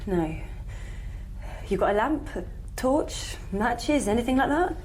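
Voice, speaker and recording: An actress playing a wounded, weary scientist in an audio drama, speaking in a guarded, wry, understated way. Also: female voice, on the high side, expressive, slow, darkish tone, slight background noise. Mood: wary. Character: wounded, weary woman astrophysicist surviving an alien invasion.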